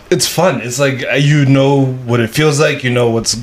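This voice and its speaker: A man speaks casually as a podcast guest at an ordinary pitch. He explains and argues his points, often rewording mid-thought, with faint noise and clicks behind him. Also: fairly even intonation, slow. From Kenya. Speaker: comedian guest.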